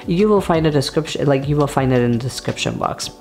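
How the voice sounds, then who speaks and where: A woman with a very deep voice for her sex talks casually through her favourite items as host of a stationery channel. Her delivery is chatty and conversational, and her voice is still recovering after she lost it. Music plays behind her. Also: animated, fast, darkish tone. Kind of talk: casual product showcase to camera.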